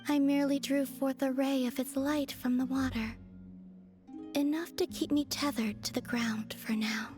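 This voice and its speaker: A woman voicing a serene, frail, otherworldly young moon goddess in a video game, soft, breathy and formal. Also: high-pitched, fairly even intonation, close to an everyday voice. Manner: formal, unhurried, solemn. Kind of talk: video game character dialogue. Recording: faint music behind the voice.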